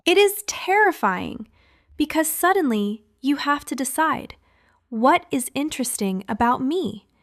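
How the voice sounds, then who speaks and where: A young woman from California co-hosting an English-learning podcast, speaking clearly like a teacher and laughing often. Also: high voice, animated, measured pace, no echo, studio quality. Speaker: podcast co-host.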